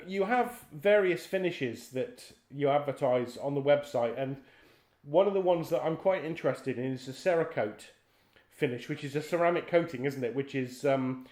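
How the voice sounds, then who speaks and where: A man's voice: on the high side, moderate pace, a little mellow. Echoey-sounding man asking interview questions. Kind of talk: conversational interview.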